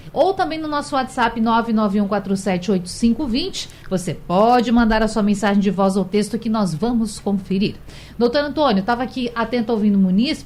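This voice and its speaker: Woman radio host, slightly high voice, conversational, radio studio, faint background hiss. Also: a little mellow. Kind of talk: radio talk show hosting.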